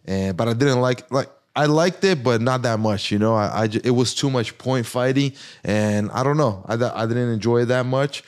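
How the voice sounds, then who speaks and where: Smooth-voiced man, easygoing. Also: medium pitch, moderate pace, hardly any pauses. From Brazil. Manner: loose and rambling, heavy use of "like". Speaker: guest fighter. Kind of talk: casual podcast chat.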